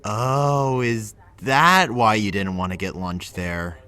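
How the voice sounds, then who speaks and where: A man's voice: on the low side, slow pace, pushed and bright, clear, resonant tone. A man playing a blunt but well-meaning friend in an audio drama, casual, incredulous and chiding. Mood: incredulous, curious. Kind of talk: conversational audio drama acting.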